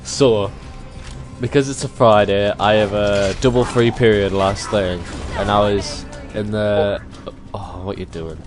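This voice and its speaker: Young man, British accent, casual storytelling, informal slang, bantering, clear music in background. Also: lowish voice, fairly even intonation, unhurried. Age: young adult. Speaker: video host telling stories. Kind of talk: casual chat, anecdotes between friends. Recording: music behind the voice.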